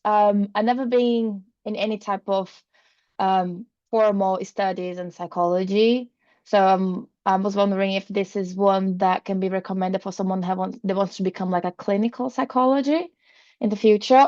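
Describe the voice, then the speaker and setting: A woman's voice: mid-range voice, a little mellow, no echo. A woman whose first language is not English, asking hesitant questions as a prospective student on a video call. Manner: hesitant, searching for words. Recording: dull, dry video call audio.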